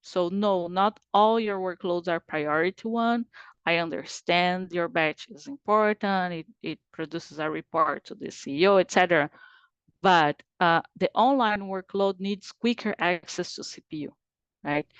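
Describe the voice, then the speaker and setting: A woman with a Brazilian Portuguese accent speaks in a low, smooth, clear voice. She explains technical results step by step on a video call, pausing on frequent "uh" fillers. The sound is dry and close. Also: no room sound, highs cut off. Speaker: conference presenter.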